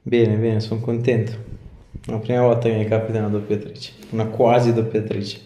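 Male chiropractor, deepish voice, reassuring and coaxing, casual bedside instructions, recorded in a roomy small clinic. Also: flat delivery, brisk, mellow, a little hiss or hum. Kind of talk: casual chat and calm instructions to a patient during treatment. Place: small indoor clinic treatment room. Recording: echoey small treatment room.

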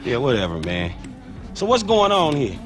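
A man's voice: medium pitch, wildly swooping pitch, moderate pace, music in the background. A man playing a young streetwise American crew member in a video game, blunt, slangy and skeptical. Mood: curious. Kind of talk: video game cutscene acting.

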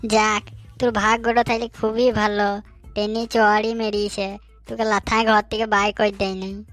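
A man playing a bossy, teasing young friend in a comic cartoon, using colloquial rural western West Bengal dialect and a pitched-up voice. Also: little pitch movement, normal speed, a bit bright, faint music behind. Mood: mock reassuring. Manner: colloquial, mocking, scolding.

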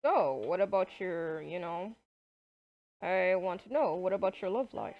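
A woman's voice: fairly low pitch, measured pace, dull audio. A woman voicing an awkward, flirty boss man in an improvised game roleplay, talking hesitantly. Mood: casual, flirty.

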